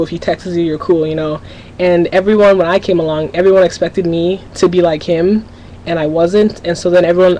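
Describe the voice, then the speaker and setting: A woman's voice: lowish voice, dark tone, a little hiss or hum, low-quality recording. Teenage girl rambling candidly to camera. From Minnesota, USA. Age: teens. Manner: rambling, candid, restarts sentences often. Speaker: vlogger. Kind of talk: casual confessional webcam vlog.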